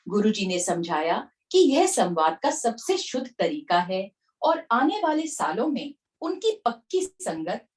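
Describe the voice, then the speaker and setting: A woman reading aloud from a devotional book in an expressive narrating manner. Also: mid-range voice.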